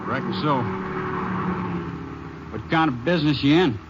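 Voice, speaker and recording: An actor playing a laconic, folksy hitchhiker and robber in an old radio western drama. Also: male voice, medium pitch, measured pace, lo-fi sound, natural voice. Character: menacing male hitchhiker turned armed robber, rustic Texan. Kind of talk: 1950s radio drama acting. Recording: noisy old radio recording, car motor effects.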